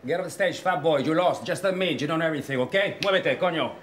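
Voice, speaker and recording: Taunting Spanish-accented man played by an actor, quick and impatient, high for a male, echoey hall. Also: fairly bright tone, slight background noise, close to an everyday voice.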